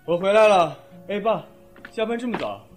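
A man's voice: sits high, expressive, moderate pace. A man acting a caring but exasperated teenage schoolboy and older brother in an audio drama. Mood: polite. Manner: dry, long-suffering. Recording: music playing behind.

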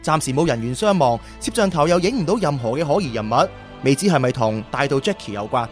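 Man acting as chatty young sidekick, high voice, swooping intonation, excitable, radio drama. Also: fast, soft background music, natural voice. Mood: reporting, worried.

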